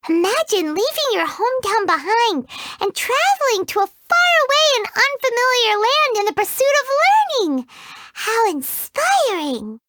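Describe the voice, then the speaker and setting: A squeaky little fairy sidekick. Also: female voice, very animated, unhurried, fairly bright tone, no echo, exaggerated voice. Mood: chirpy, excitable. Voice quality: high squeaky childlike voice. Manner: talks about herself in the third person. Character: tiny floating fairy companion, chirpy and excitable. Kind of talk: video game character dialogue.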